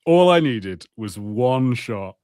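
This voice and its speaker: A man's voice: mid-range voice, animated, slow pace, a little mellow, no echo. Wry man in dry, close podcast sound. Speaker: podcast co-host. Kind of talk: casual film banter.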